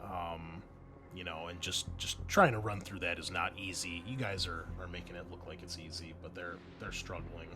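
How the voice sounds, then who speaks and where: A man's voice: sits low, steady tone, normal speed, sharp tone, a little hiss or hum. A man running a tabletop roleplaying game as game master, mixing improvised narration with conversational explanations to his players. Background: music under the voice.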